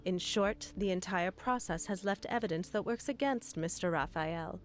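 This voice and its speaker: A woman's voice: on the low side, normal speed, soft background music, natural voice. An actress voicing a composed, serious female lawyer in a video game, speaking formally and precisely.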